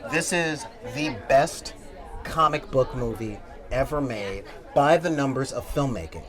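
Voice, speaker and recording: A man's voice: mid-range voice, animated, measured pace, fairly bright tone. An opinionated man on a panel, debating films casually and emphatically. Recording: crowd chatter, outdoor wind on mic.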